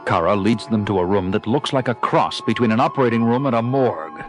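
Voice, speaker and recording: A man's voice: on the low side, expressive, fairly quick. A man narrating a scripted audio drama in a storytelling style. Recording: loud music under the voice.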